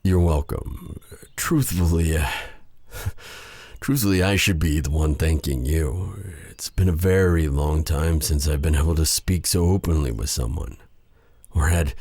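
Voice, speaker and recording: Man acting a deep, husky, brooding dark sorcerer. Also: dry recording, full character voice. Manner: halting, formal, intimate, weighted with pauses. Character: brooding, remorseful dark sorcerer, bitter yet tender captor. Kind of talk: dramatic ASMR roleplay addressed to the listener.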